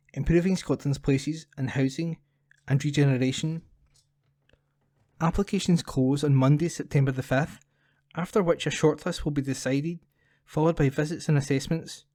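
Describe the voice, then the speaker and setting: Higher-voiced, mellow man reading news. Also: no echo, clean studio sound. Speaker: volunteer newspaper reader. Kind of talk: scripted news reading for a talking newspaper. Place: at home, dry room.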